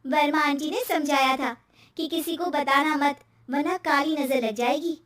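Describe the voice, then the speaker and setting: A woman's voice: sits high, steady tone, unhurried, fairly bright tone, far from the mic. A woman voicing a cheerful, talkative, easily worried young wife in a cartoon moral story.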